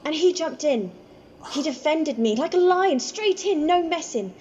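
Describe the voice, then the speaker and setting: A woman acting a kind, stubbornly loyal homeless woman in an amateur radio play, plain-spoken, colloquial and a little halting. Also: high voice, expressive, darkish tone. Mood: insistent, defensive. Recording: dull lo-fi home recording, faint noise.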